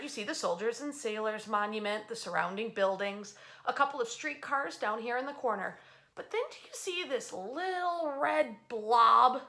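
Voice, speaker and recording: A woman with a fairly high, very bright voice hosts a history storytelling series. She speaks in a chatty, emphatic way, stretching key words and pausing for dramatic effect as she addresses her online audience.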